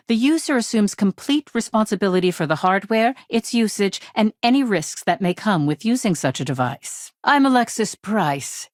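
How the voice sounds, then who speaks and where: Woman playing low-voiced, blunt corporate legal chief. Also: dry recording, close to an everyday voice. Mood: direct, businesslike. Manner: direct, businesslike, dry. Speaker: head of a corporate legal department. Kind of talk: video game dialogue.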